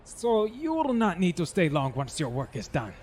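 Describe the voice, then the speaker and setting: Man playing a high-voiced, courteous, menacing gentleman villain. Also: huge pitch swings, moderate pace, a little hiss or hum. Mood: courteous, guarded. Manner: formal, elaborately polite. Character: suave menacing older man with a cat companion. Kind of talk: audio drama acting.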